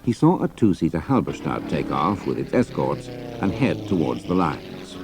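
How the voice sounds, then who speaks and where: A man's voice: very deep voice, sing-song, normal speed, background noise. A man giving a dramatic scripted narration of an adventure story.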